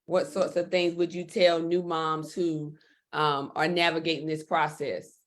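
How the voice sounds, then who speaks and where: A woman's voice: low-pitched, flat delivery, a little slow. A woman hosting a livestream interview in an upbeat, encouraging way. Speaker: host.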